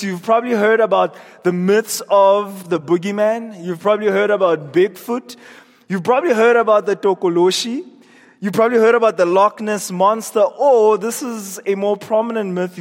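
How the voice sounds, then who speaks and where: Hoarse preacher in a hall. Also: male voice, high-pitched. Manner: earnest, repeats words when he restarts. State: scratchy, strained voice. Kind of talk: sermon. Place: church hall. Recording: long reverb of a church hall.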